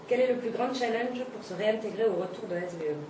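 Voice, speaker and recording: A woman interviewer asking short, brisk questions. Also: fairly high pitch. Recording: distant, echoey, noisy.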